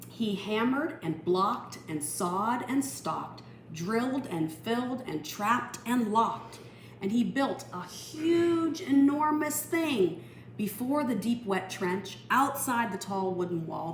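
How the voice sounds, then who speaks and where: A woman reading a picture book aloud for children, expressive and explanatory, with teacherly asides. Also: mid-range voice. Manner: explanatory, expressive, pausing to define words. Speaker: storytime reader. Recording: echoey room with faint noise.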